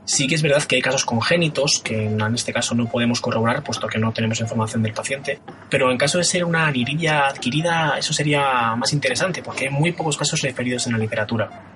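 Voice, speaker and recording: An actor playing a calm, formal intensive care doctor who speaks in clinical terms. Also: male voice, medium pitch, fast talker, natural voice. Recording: noisy recording.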